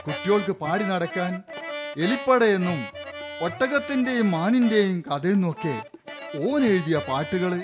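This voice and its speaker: A man's voice: sits high, lively intonation, normal speed. An actor playing a proud older village man in a radio drama, with a Malabar Malayalam accent. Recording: radio drama with music underneath.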